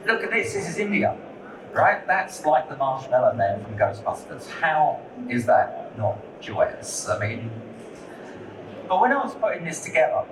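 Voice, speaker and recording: A man's voice: on the high side, sing-song, a little slow. A man speaking as a lighting designer on a conference panel, candid and conversational, often repeating words as he thinks. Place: conference hall. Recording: echoey hall, distant mic, noisy.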